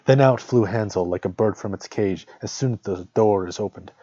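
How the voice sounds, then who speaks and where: A man's voice: on the low side, normal speed, mellow. A man on a reading stream, speaking as a plain storyteller and casual host. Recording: dull lo-fi audio, roomy echo.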